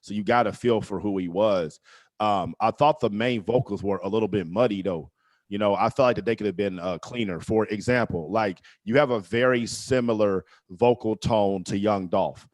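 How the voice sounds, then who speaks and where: A deep-voiced man from Indianapolis, a guest reviewer giving laid-back, frank feedback on a track with some swearing. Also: normal speed, a little mellow, dry recording.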